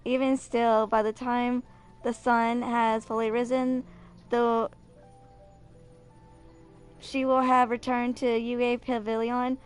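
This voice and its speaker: A young woman with a fairly high-pitched voice gives casual video game commentary. She talks like a streamer at home with her game and trips over the odd word when reading aloud. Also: flat delivery, slow, long pauses, clear, resonant tone, faint music behind. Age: 20s.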